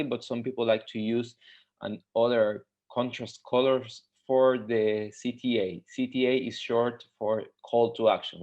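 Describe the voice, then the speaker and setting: A man's voice: fairly low pitch, a little mellow. A man from Mexico, a UX designer, teaching a live webinar tutorial with many fillers and restarts. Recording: dull lo-fi webinar audio.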